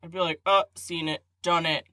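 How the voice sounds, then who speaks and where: A young woman co-hosting a casual podcast, playful and quick to laugh, breaking into funny voices. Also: on the low side, steady tone, sharp tone, dry recording. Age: young adult. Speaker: podcast co-host. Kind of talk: casual chat.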